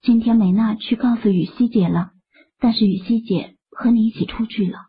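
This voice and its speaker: A woman playing a worried, dutiful young office colleague in an audio drama, with a husky, breathy voice. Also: a little high, moderate pace, narrow, tinny audio. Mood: aggrieved.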